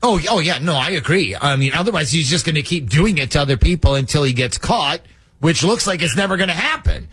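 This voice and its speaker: A man hosting a radio call-in segment, talking in a lively, joking, conspiratorial way. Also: on the high side, fairly quick, breathy edge, no echo. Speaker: radio show host. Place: radio studio.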